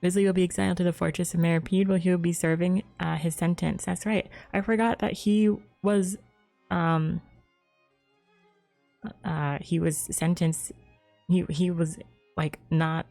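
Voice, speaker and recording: A woman's voice: fairly low pitch, creaky, mellow. A woman game streamer casually reading game text aloud, stumbling over names as she goes.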